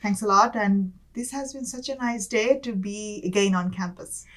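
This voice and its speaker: A young woman from Bangladesh, a guest in an interview, talking casually with many fillers and soft laughs. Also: medium pitch, moderate pace. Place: campus studio.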